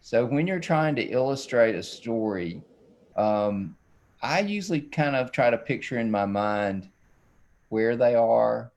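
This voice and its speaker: A man's voice: mid-range voice, lively intonation, unhurried, darkish tone. A man, an illustrator talking casually and playfully as he demonstrates drawing. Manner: folksy, playful, self-described silly. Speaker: children's book illustrator.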